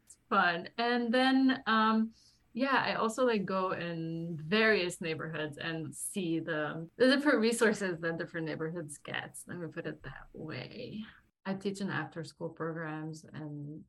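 A woman's voice: on the low side, measured pace, a bit bright. A woman in her thirties from Lebanon, chatting casually as an interview guest and often laughing as she talks. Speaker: guest, performing artist and acting teacher.

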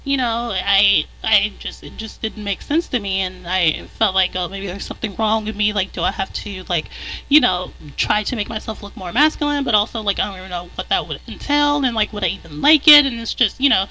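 A man with a high countertenor voice, a podcast guest talking candidly and rambling in casual chat. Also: a little fast, bright, forceful tone, a little hiss or hum, poor audio.

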